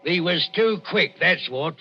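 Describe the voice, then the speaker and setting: An actor playing a brash, bluff body snatcher with a gravelly voice in a 1940s radio drama. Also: male voice, a little high, animated, a little slow. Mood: brash, irritated. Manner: bluff, domineering. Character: brash, rough Cockney body-snatcher uncle. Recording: muffled old radio recording with music.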